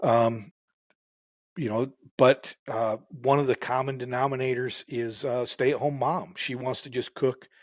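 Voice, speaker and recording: A male farmer speaking at an ordinary middle pitch, easy and unhurried, with a lot of "uh" and "um" as he works his way through his thoughts. The recording is dry and close, with no room around the voice. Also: fairly even intonation, no room sound. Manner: unhurried, many fillers and restarts. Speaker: farmer guest. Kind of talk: casual interview talk.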